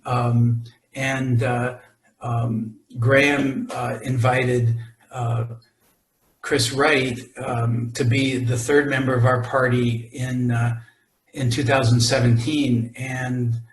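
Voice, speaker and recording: A man presenting a mountaineering talk over photos, halting and full of fillers and restarts. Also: mid-range voice, little pitch movement, roomy sound, rough recording. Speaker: expedition climber presenting. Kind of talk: presentation to a club audience.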